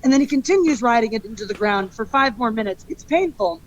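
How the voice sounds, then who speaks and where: A woman streamer and stand-up comic ranting in a rambling, petty and animated way. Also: fairly high pitch, fast. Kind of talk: clip of a livestream rant. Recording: muffled clip with faint noise.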